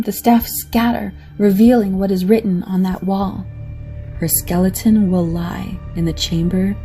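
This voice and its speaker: A woman's voice: medium pitch, mellow. A woman reading scripted scene narration for an audio drama. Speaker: story narrator. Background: faint music.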